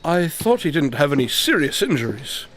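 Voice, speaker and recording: An actor playing a self-assured, dryly witty detective in an audio drama, speaking in a formal, old-fashioned manner. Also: male voice, on the high side, very animated, normal speed, noisy background. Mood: concerned.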